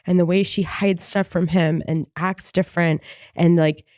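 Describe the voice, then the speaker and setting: A woman's voice: on the low side, steady tone, moderate pace, a little mellow, no room sound, clean studio sound. A woman in her thirties co-hosting a podcast, chatting casually with frequent rambling and self-amused asides. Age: 30s. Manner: chatty, rambling, laughs at herself. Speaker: podcast co-host. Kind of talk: casual recap chat.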